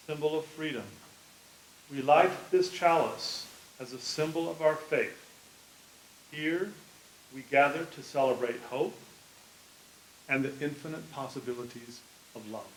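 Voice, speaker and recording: A man who serves as a church music director, giving a welcome and a short liturgical reading. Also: a little high. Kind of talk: service welcome and chalice lighting reading. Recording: echoey room with faint hiss.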